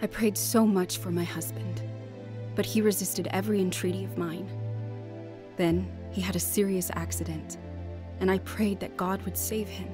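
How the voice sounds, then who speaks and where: A woman's voice: mid-range voice, steady tone, moderate pace, music in the background, close to an everyday voice. An actress playing an earnest, devout wife in a radio drama, acting and narrating her heartfelt testimony. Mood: reflective narration.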